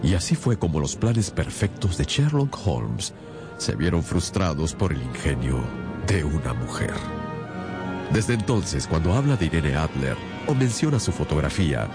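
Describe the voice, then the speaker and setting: Un actor que interpreta al leal compañero del detective y narra la historia en una radionovela, con música de fondo. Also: male voice, very deep voice, sing-song, moderate pace, over music, exaggerated voice. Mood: measured, storytelling. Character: Holmes's loyal companion, male narrator of detective tales. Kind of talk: dramatised radio storytelling.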